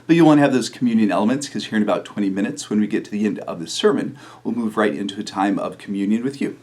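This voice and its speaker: Middle-aged male pastor with a low voice, relaxed storytelling with fillers, for a video sermon. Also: fast. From New Mexico, USA.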